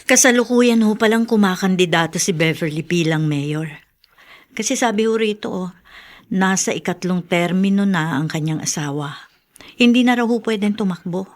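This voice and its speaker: A woman's voice: medium pitch, normal speed, close to an everyday voice. A Filipino woman acting in a radio drama as a wistful grown daughter and foster mother. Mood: informing.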